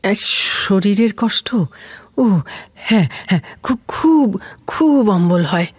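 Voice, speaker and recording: A woman's voice: mid-range voice, animated, slow, husky, no room sound. An older woman playing a frail, lonely former housemaid in a Bengali audio drama, rambling in homely Kolkata Bengali with a plaintive manner. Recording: music behind the voice.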